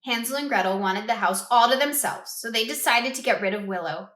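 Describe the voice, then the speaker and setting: A woman reads a children's picture book aloud with a bright, clear, slightly high voice. She tells the story expressively and stops to ask her young listeners questions. The voice echoes in a roomy space. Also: brisk. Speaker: read-aloud host for children. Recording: roomy echo.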